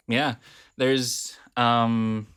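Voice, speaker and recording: A man's voice: mid-range voice, a bit bright, clean tone. Curious podcast guy asking questions. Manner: curious, questioning, many fillers. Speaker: podcast co-host. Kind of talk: casual chat.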